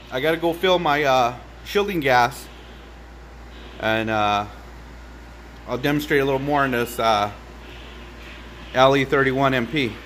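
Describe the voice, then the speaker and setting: A man's voice: mid-range voice, a bit bright, slight background noise. A middle-aged man talks through a welding test in his home garage, stopping often to hunt for words. He is recorded on a phone lying on the workbench, sometimes from a few steps away. The air conditioning hums faintly behind him. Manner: rambling, halting with many fillers. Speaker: hobby welder vlogger. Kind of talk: casual demonstration vlog. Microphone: phone camera set on the bench, sometimes far off.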